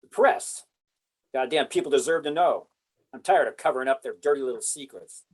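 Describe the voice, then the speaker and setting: A man's voice: mid-range voice, brisk, natural voice. A man playing a principled, blunt military colonel, profane and indignant, in a script table read. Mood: bitter resolve.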